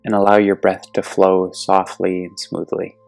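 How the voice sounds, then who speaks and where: Deep, gravelly man guiding a meditation. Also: completely flat delivery, no room sound. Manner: soothing, gentle, deliberate with long pauses. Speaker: guided meditation instructor. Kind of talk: guided yoga nidra meditation. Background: soft meditation music.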